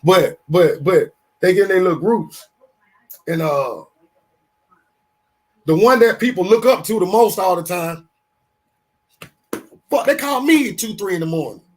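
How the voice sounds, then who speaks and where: Fired-up high-voiced man in his fifties. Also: a little slow, long pauses, rough recording. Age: 50s. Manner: animated, swearing, storytelling with restarts. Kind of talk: casual podcast talk.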